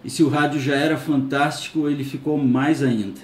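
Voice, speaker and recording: A man's voice: a little high, fairly even intonation, brisk. A man in his fifties with a southern Brazilian accent, telling personal recollections. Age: 50s. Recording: echoey room.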